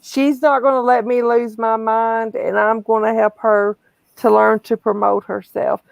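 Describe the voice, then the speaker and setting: Woman livestream host, gravelly and fairly high voice, dry close sound, chatty, restarts a lot. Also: little pitch movement, unhurried, dry recording. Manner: chatty, repeats and restarts phrases. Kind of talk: casual livestream chat.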